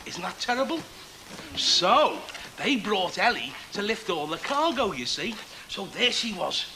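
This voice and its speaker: An actor playing a cheery working-class chancer with a Liverpool accent and the gift of the gab, chatty and wisecracking in a naturalistic drama. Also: male voice, high voice, big pitch swings, measured pace, bright, forceful tone, a little hiss or hum, heavily acted, low-quality recording. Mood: playful storytelling.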